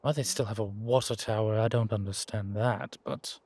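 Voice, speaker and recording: A man playing a sardonic, weary vampire in an audio roleplay, speaking in a measured, formal, wry way. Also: fairly low pitch, fairly even intonation, darkish tone, husky, no room sound. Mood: musing, calm. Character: sardonic, weary male vampire, protective of a little companion. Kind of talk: scripted audio roleplay drama.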